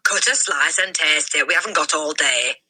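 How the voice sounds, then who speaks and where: Woman acting a tyrannical, forceful storybook headmistress. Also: low voice, heavily acted. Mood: crafty. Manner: commanding, drawn-out, shouted orders. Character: tyrannical, bullying adult headmistress, explosive and sneering. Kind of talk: children's storybook read-aloud, character voice.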